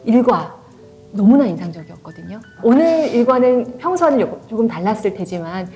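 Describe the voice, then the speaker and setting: A woman in her forties hosting a book talk, lively and emphatic, laughing often. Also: fairly high pitch, brisk, soft background music. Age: 40s. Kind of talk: warm conversational interview.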